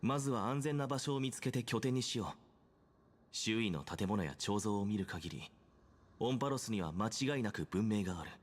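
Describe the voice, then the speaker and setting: Game role: guarded young adventurer, husky, very quick, grave and terse. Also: male voice, lowish voice, animated. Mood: grave, wary. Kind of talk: Japanese game voice acting. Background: game music.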